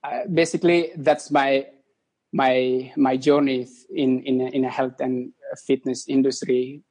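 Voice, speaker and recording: Halting man telling his story. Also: medium pitch, level tone, darkish tone. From East Timor. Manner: halting, many restarts and repeated words. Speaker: guest. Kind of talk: casual interview.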